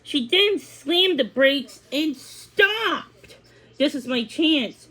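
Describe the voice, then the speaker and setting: A woman's voice: high voice, lively intonation, drawn-out words, fairly bright tone. A woman narrating a scripted children's story as a read-along.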